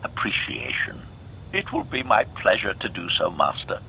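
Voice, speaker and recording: Creaky, servile assassin droid. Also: male voice, sits low, sing-song, moderate pace, sharp tone, a little hiss or hum, heavily acted. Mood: formal, obliging. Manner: formal, announces each statement with one word. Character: servile, coolly lethal assassin droid, male. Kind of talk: video game voice acting.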